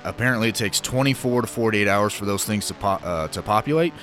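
A man's voice: lowish voice, quick pace, a bit bright, faint music behind. A man hosting a podcast in a loose, joking way, drawling out casual questions to his guest. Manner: joking, loose, laughs easily. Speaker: podcast host.

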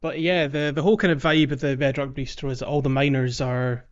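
A man co-hosting a casual gaming podcast, talking in a halting way with thoughts that trail off. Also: medium pitch, fairly even intonation, lo-fi sound. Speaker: podcast co-host.

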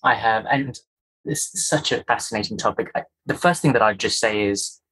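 Husky-voiced man, earnest and emphatic. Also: on the low side, normal speed, fairly bright tone, rough recording. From Kenya. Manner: earnest, emphatic, builds points in lists of rhetorical questions. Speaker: guest, culture and inclusion executive. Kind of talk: conversational interview, explanatory.

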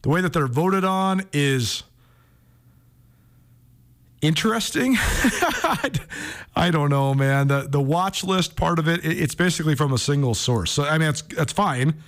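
A man's voice: on the high side, lively intonation, measured pace, pauses often, a bit bright. An American man hosting a sports talk radio show, commenting casually and thinking aloud as he goes.

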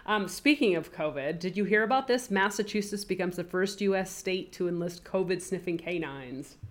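A woman co-hosting a casual podcast, chatting and reading news items aloud with little asides, her voice stuffy and deeper than usual from a cold. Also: normal speed. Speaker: podcast co-host.

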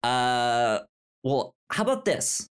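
A man hosting a casual podcast, speaking in a halting way and often repeating the starts of words. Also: medium pitch, sing-song, clear, resonant tone. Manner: halting, repeats word beginnings. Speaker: podcast host.

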